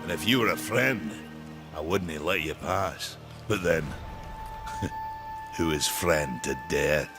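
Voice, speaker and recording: An actor playing a weary old male warrior who guards a gate, gruff, rustic and wry, with game music behind him. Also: extremely low pitch, slow pace, long pauses, exaggerated voice. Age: older.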